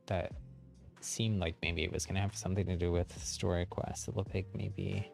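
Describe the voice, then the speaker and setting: A man's voice: deep voice, flat delivery, normal speed, gravelly, darkish tone, soft background music. A man giving casual gameplay commentary on a livestream, explaining things as he goes and thinking aloud. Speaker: game livestreamer.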